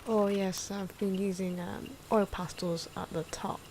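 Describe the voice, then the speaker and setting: A soft-spoken, hesitant woman giving ASMR commentary on her own drawing, with pencil and marker noises. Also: mid-range voice, little pitch movement, unhurried, slight background noise. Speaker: artist narrating own drawing video.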